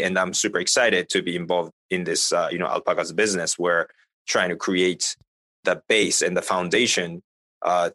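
Man, Japanese accent, native Japanese speaker, low pitch, dry close sound, halting conversational delivery, interview guest. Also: sharp tone, dry recording. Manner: halting, repeats filler phrases. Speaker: interview guest, fintech business representative.

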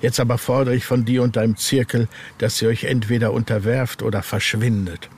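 A man's voice: mid-range voice, little pitch movement, fairly quick, breathy edge, lightly acted. A man reading the part of a menacing, haughty hooded monk from a horror novel. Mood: haughty, demanding. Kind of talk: read-aloud horror novel dialogue.

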